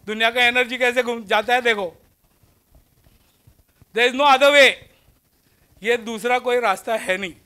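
A man with an Indian English accent lectures a packed auditorium through a lapel mic. His voice sits unusually high and rings bright. He keeps the talk conversational, firing rhetorical questions at his students. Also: fairly even intonation, very fast, pauses often, clear, resonant tone. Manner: walks the stage gesturing while speaking. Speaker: guest lecturer. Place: large auditorium with seated students.

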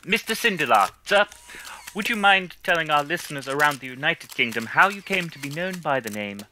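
Bright, eager 1930s radio reporter. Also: male voice, on the high side, expressive, a little fast, slight background noise. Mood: eager, polite. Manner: formal, deferential. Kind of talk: 1930s radio interview acting.

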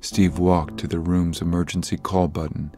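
A grave, low-pitched actor as a male narrator tells a horror tale for an audio drama. His delivery is measured and ominous, with music playing clearly behind him. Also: level tone, lightly acted.

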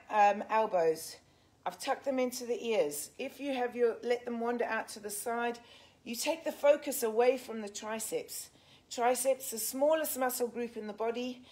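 A woman in her forties with an English accent, leading a live workout and counting reps while she exercises. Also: a little high. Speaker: fitness instructor. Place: large indoor gym. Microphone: fixed camera a few metres off.

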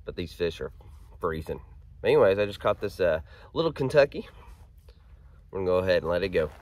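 A man in his thirties with a hoarse, froggy voice from a head cold, chatting casually as he fishes from a kayak on a creek, filmed by a pole-mounted camera. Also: low voice, brisk. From Arkansas. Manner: chatty and upbeat, excited while reeling in fish. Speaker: fishing vlogger. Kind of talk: casual outdoor vlog. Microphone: action camera on a pole mount.

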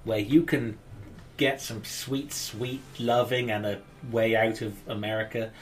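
A man's voice: mid-range voice, steady tone, measured pace, a little hiss or hum, low-quality recording. A man in his thirties, English-born and raised in Wales, co-hosting a game stream with rambling, joking chat. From England, raised in Wales. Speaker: co-host. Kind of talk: casual let's-play chat.

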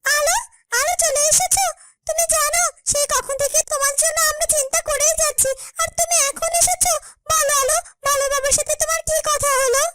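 A woman's voice: very high voice, steady tone, fairly quick, bright, forceful tone, no room sound. A woman voicing a small, anxious companion in a fairytale cartoon, fretting and asking insistent questions. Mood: worried. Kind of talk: dramatic fairytale cartoon dubbing.

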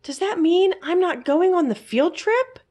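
A woman's voice: extremely high pitch, expressive, measured pace, warm tone, pitched above the performer's own voice. A woman voicing an eager, wistful little male pet mouse who pleads and exclaims in a children's storybook. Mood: alarmed.

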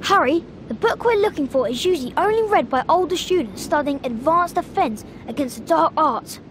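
A performer playing an eager, excitable young schoolboy wizard in a video game. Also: high-pitched, brisk, a little hiss or hum. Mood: excited, eager. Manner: eager, wide-eyed.

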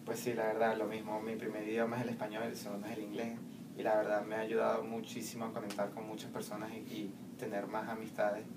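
A man's voice: fairly low pitch, completely flat delivery, fast talker. A young man answering interview questions steadily, filmed in a small classroom from a camera across the room. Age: young adult. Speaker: student interviewee. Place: small classroom with plain walls. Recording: distant mic, clear room noise.

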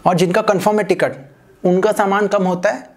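A young man doing a stand-up comedy set on a small stage, telling an animated story and acting out other people's voices. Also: sits high, brisk. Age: young adult. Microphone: handheld mic. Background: audience laughter.

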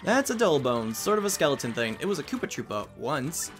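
A man's voice: fairly high pitch, very animated, a little fast. A man reading the lines of a perky young female student sidekick in his own voice, matter-of-fact and informative. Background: game music.

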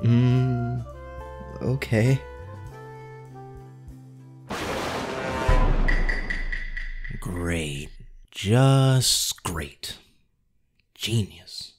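Slow, expressive teenage boy superhero played by a man. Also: mid-range voice. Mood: self-mocking, dejected. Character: cocky but lovesick teenage boy cat-themed superhero. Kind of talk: animated-style comic dub acting.

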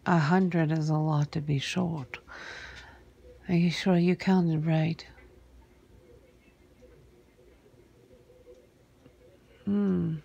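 Casting: woman to play a cold, sardonic female vampire boss for ASMR roleplay; very breathy, gravelly fry, menacing, half-whispered drawl. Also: low voice, steady tone, mellow, muffled recording, full character voice. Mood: cold, impatient. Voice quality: breathy, husky, often sinking into a whisper. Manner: slow, deliberate, menacing drawl with long pauses.

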